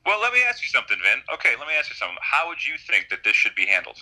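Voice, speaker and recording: A man joining as a guest in a political debate, calm and dismissive, coming through remote audio. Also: mid-range voice, very fast, low-quality recording.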